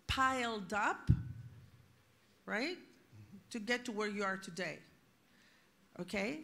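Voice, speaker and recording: Woman, fairly high voice, panel expert who argues emphatically with pointed rhetorical questions. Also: a bit bright. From Greece. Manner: emphatic, rhetorical questions, addresses listeners directly. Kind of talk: panel discussion, unscripted explanation.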